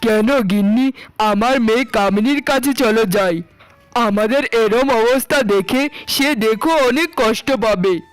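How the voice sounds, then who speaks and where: A man's voice: very high voice, a little slow, clear, resonant tone, no room sound, poor audio. A man voicing a meek ageing village landlord in a cartoon, a doting husband and father who speaks in a pleading, melodramatic way. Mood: apologetic. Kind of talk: Bengali cartoon melodrama acting.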